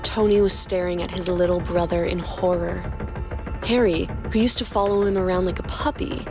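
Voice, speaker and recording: A woman narrating a fan fiction story in a steady reading voice. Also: lowish voice, normal speed, music in the background. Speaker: podcast co-host narrating fanfiction.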